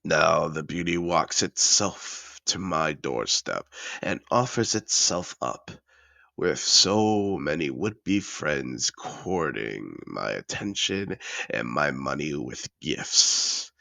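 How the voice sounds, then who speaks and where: Man voicing a very deep, gravelly, suave dragon. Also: animated, sharp tone, dry recording, heavily acted. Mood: suave, self-satisfied. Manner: theatrical, refined, unhurried storyteller. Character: suave, possessive, wealthy male dragon in human disguise. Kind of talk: scripted in-character audio roleplay monologue.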